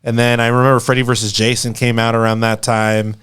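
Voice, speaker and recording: A man's voice: medium pitch, flat delivery, fairly quick, a bit bright, smooth. A man co-hosting a podcast, talking casually about movies and repeating phrases as he works out his point. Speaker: podcast co-host.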